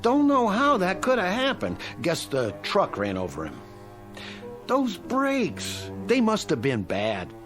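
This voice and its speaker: A sly crook with swinging intonation. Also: male voice, sits high, wildly swooping pitch, takes it slowly, over music, full character voice. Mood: smug, callous. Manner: blunt, colloquial, taunting. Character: sly, callous, greedy male crook, mocking and treacherous. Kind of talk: radio drama acting.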